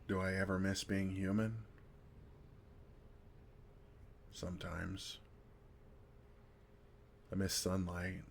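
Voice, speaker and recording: Male actor as older vampire, deep register, fry at the edges, kind, dryly funny, unhurried, close ASMR roleplay delivery. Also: slight background noise. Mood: wistful. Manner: gentle, dry self-deprecating humor, unhurried pauses.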